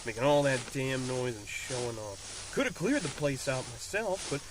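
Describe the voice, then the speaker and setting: An actor playing a hot-headed, sarcastic superhero who grumbles defiantly in an audio drama. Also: male voice, medium pitch, sing-song, moderate pace, background noise, lightly acted. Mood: sarcastic grumbling.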